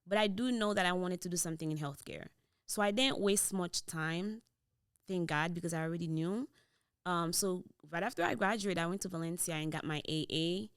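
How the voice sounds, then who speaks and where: Woman, Haitian Creole accent, slightly low voice, smooth tone, relaxed podcast storytelling, dry close studio mic. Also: a little fast, no echo. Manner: switches into Creole mid-sentence, relaxed storytelling. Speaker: podcast guest. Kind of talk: casual interview chat. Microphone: boom-mounted studio mic.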